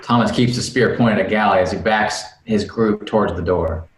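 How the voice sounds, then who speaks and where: A man's voice: lowish voice, moderate pace. A man reading screenplay stage directions on a video call, with jokey asides. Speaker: screenplay narrator at a table read. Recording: roomy video-call audio.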